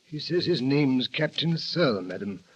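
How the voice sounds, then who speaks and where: A man's voice: mid-range voice, lively intonation, moderate pace, slight background noise, lightly acted. A man playing a formal deferential butler. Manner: formal, respectful. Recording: lo-fi old radio with music.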